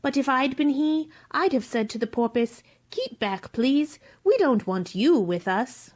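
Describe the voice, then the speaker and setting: A woman's voice: high-pitched, animated, a little slow, a little mellow. A woman playing a curious, polite and eager young girl in a radio play, with an inquisitive, wide-eyed manner.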